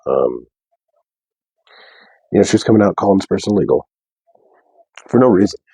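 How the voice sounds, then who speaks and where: A young man with a deep bass voice, talking softly and casually to viewers on a live stream. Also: sing-song, moderate pace, breathy edge, no room sound. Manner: soft, unprojected speech. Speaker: livestream host. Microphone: wearing a mic.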